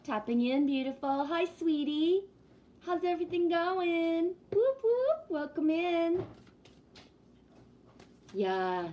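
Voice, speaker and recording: A woman's voice: very high-pitched, sing-song, takes it slowly, long pauses, warm tone. A woman in her fifties hosting a livestream, chatting casually and greeting viewers while talking about spiritual topics. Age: 50s. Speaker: livestream host.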